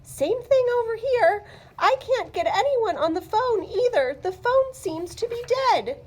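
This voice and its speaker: Woman acting a flustered, high-pitched suburban housewife. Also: expressive, a little slow. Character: worried suburban housewife, flustered by dead phone and power. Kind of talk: amateur read-aloud of a television script.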